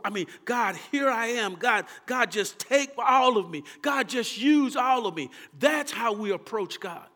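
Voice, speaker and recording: A Texan man preaching a sermon as pastor, moving between loud, fervent calls and quiet, low asides. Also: long reverb tail.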